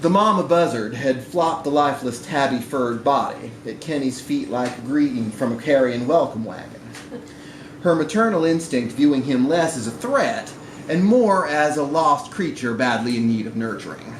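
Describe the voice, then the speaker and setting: Guy reading a short story live at a bar, really animated, roomy sound with some noise. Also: medium pitch, moderate pace. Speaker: story reader at a live literary event. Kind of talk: expressive literary narration read to an audience. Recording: echoey live room recording with background noise.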